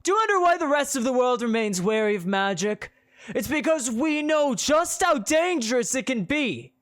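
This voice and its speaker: A narrator voicing a self-righteous blond man who preaches loudly against magic in slow, declaiming speech. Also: high voice, animated, pitched above the performer's own voice. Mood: preaching.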